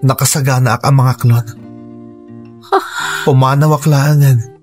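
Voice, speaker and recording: A man's voice: mid-range voice, fairly even intonation, unhurried, a little mellow, soft background music, close to an everyday voice. An actor playing a lovelorn man who pleads earnestly for a woman's love in a radio drama. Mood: earnest pleading. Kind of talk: Ilocano radio drama acting.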